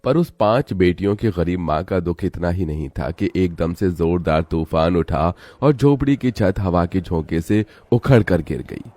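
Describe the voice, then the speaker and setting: A man narrating a scripted cartoon moral story in a dramatic, expressive storytelling style. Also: low-pitched, measured pace, few pauses, no room sound.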